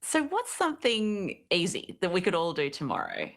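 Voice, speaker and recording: A woman's voice: lowish voice, huge pitch swings, moderate pace, fairly bright tone. A woman moderating a panel discussion, putting upbeat, inviting questions to each speaker in turn.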